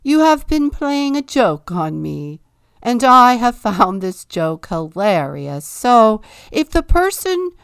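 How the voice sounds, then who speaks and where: Lady doing a puffed-up vain king voice, big and booming, bit gravelly. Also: very animated, slow pace, no room sound, studio quality, exaggerated voice. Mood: grand. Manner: booming, self-important proclamations. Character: vain pompous male king, grandly self-admiring. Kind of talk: storybook read-aloud.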